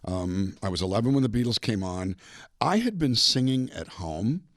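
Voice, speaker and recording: Deep-voiced older man telling stories. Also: moderate pace, no room sound, studio quality. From California, US (Mexican border town). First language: bilingual English and Spanish. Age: 70s. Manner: relaxed storyteller, acts out quoted voices. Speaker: singer-songwriter guest. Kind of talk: casual interview chat.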